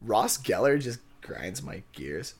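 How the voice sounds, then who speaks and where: A man's voice: mid-range voice, big pitch swings, takes it slowly, husky. A man co-hosting a casual comedy podcast, riffing in mock-earnest banter with some swearing. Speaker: podcast co-host.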